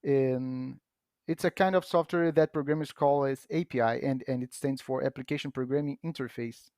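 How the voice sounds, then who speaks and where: Portuguese-native man, podcast guest, patient and halting, dry recording. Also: mid-range voice, steady tone, a little mellow, no room sound. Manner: patient, halting with frequent restarts and fillers. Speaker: podcast guest explaining software. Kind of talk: casual explanatory chat.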